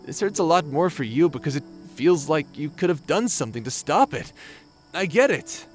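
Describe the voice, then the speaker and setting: A man acts out an awkward young space lord in an audio drama. The voice is high for a male and swings freely in pitch, with a wry, hesitant, sympathetic manner. Faint music sits behind it. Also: expressive, moderate pace, lightly acted. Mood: sympathetic, reassuring. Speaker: voice actor in an audio roleplay. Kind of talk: conversational audio drama acting.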